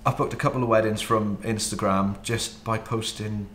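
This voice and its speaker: A Welsh man in his thirties, a wedding videographer, answering interview questions in a relaxed way with frequent ums. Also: fairly low pitch, moderate pace, echoey room, a little hiss or hum. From Wales. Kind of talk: casual interview. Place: home studio office, indoors.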